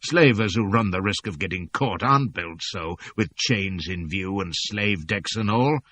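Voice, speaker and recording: Man voicing a cold, slow-spoken slaver captain. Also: low voice, lively intonation, a bit bright, heavily acted. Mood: scornful. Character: cold menacing middle-aged male slave-ship captain. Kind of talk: audiobook character voice.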